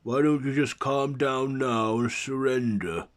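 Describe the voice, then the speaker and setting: A man's voice: mid-range voice, unhurried, voice pushed up, close to an everyday voice. A man voicing a cocky monster hunter who speaks in a firm, taunting way in a dramatic story.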